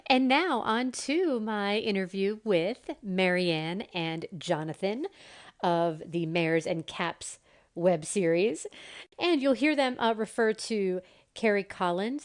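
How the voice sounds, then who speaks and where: A woman's voice: mid-range voice, dry recording, clean studio sound. Playful, chatty woman hosting a podcast. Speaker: podcast host. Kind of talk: casual chat, quiz and interview hosting.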